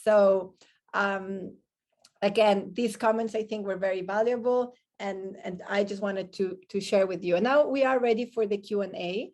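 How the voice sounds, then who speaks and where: A woman's voice: medium pitch, fairly even intonation, moderate pace, darkish tone. Woman moderating an online panel. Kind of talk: moderating a live question-and-answer session, partly reading out comments. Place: online video call. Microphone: video call microphone.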